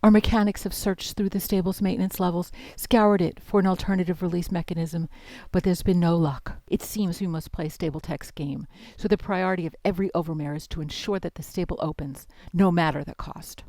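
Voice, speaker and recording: Woman acting a mellow, solemn pony overmare. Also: fairly low pitch, fairly even intonation, fairly quick, few pauses, dry recording, natural voice. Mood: solemn, resolute. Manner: formal, solemn. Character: grave female overmare pony, authoritative leader. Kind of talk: dramatic recorded log.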